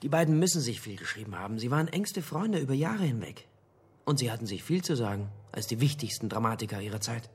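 An actor playing an investigator who briefs colleagues like an eager lecturer in a radio drama, husky and didactic, with emphatic pauses. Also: male voice, mid-range voice, lively intonation. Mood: explaining, engaged.

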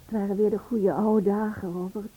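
A woman's voice: mid-range voice, little pitch movement, moderate pace, mellow, husky, lightly acted. An actress playing a hesitant, loyal woman who is wary of trouble, understated, in a radio play. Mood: recounting. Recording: dull, band-limited old radio play recording.

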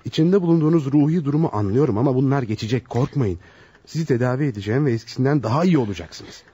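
A courteous radio-play doctor with a dry, mellow voice. He talks quickly, formal and soothing with his patients. The recording is dull and lo-fi. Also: medium pitch, expressive, breathy edge, no echo. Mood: reassuring. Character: composed, reassuring male doctor, courteous with patients. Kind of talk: old radio theater acting. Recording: dull, lo-fi old radio drama.

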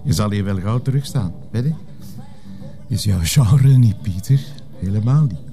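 A man's voice: fairly low pitch, animated, moderate pace, warm tone, soft background music. A man acting a patient, sensible police inspector in a TV crime drama, polite and restrained, with a Flemish accent. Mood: distracted, evasive.

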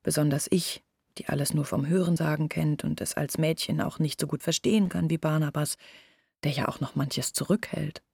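An earnest village woman in a radio play, baring family secrets. Her voice is on the low side and husky, very close and dry. She speaks deliberately, sometimes slipping almost into a whisper. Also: fairly even intonation, darkish tone, dry recording, heavily acted. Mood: earnest, confiding.

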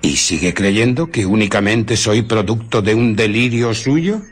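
A man's voice: lowish voice, a little slow. An actor playing a scornful, impatient invisible man in a radio drama, sardonic and commanding. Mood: threatening.

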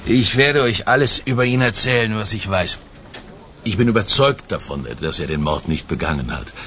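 Radio crime drama: composed, self-assured senior detective, very low register, deliberate pauses. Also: male voice, pushed and bright, a little hiss or hum. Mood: frank, measured. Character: self-assured male police lieutenant colonel from economic crimes. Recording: music playing behind the voice.

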